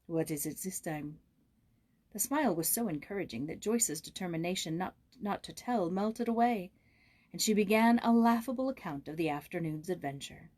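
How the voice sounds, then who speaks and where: A woman reading a children's story aloud in expressive, scripted narration as an audiobook reader. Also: medium pitch, brisk.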